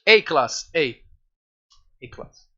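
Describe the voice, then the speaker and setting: Excitable Brazilian guy streaming, bright voice. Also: fairly high pitch, slow pace, muffled recording. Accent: Brazilian Portuguese. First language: Portuguese. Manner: excitable, chatty, swears freely. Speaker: game streamer. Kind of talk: casual live-stream chat with viewers.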